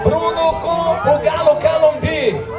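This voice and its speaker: High-voiced man shouting racers' names. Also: fairly even intonation, slow pace, dull audio. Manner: bossy, shouting names, bantering. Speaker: event organizer calling up racers. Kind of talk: shouted crowd organizing and banter. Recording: echoey outdoor event with loud music and crowd.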